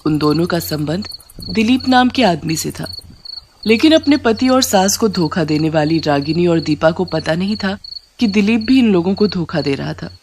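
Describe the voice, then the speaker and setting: A woman's voice: fairly low pitch, darkish tone, a little hiss or hum. A woman narrating a scripted story as a storyteller.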